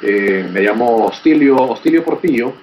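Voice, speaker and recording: A young man speaks politely and formally, pausing often with fillers. His voice sits mid-pitch and sounds a little distant from the mic. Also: animated, lo-fi sound, slight background noise. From Honduras. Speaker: graduate student, first-time participant. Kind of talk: asking a question in an online chat.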